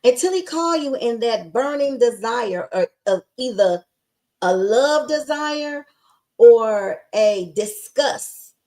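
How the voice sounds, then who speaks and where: A middle-aged woman hosts a podcast in a scratchy, slightly high voice. She talks in a sassy, emphatic way and preaches with plenty of slang. Her delivery stays punchy and direct. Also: lively intonation, takes it slowly, a little mellow. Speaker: podcast host. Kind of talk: casual motivational chat.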